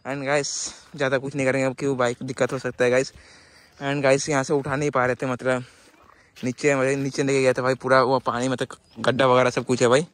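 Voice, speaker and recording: A man's voice: medium pitch, level tone, brisk, fairly bright tone. A young man narrating a casual motovlog while riding outdoors. He talks in a chatty way, often repeating "guys" and "matlab" as fillers. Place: outdoors on dirt tracks beside a pond. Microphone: phone or action camera on the bike. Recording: wind and motorcycle engine noise.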